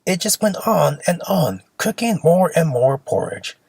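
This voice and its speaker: Animated, creaky fairy tale storyteller. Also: mid-range voice, a little slow, no echo, clean studio sound. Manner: expressive, simple storybook delivery. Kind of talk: children's fairy tale read aloud.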